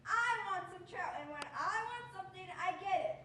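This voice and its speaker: Young woman as a high-pitched bossy gangster, echoey stage. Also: expressive, a little fast, sharp tone, full character voice. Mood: bossy, impatient. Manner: barking, slangy tough talk. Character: bossy bullying gangster boss, gruff wisecracking tough guy. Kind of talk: stage radio-show acting. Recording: echoey stage, far from mic, faint noise.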